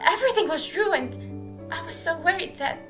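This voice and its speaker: A woman's voice: very high voice, lively intonation, moderate pace, a bit bright, soft background music. A woman playing an anxious, caring teenage girl worried for her friend in an amateur radio drama. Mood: worried, relieved.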